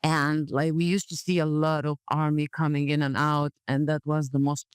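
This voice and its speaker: A woman's voice: sits low, flat delivery, normal speed, smooth, no room sound, studio quality. A woman from Gaza, answering interview questions in a blunt, matter-of-fact way. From Gaza, Palestine. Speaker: podcast guest, community development worker.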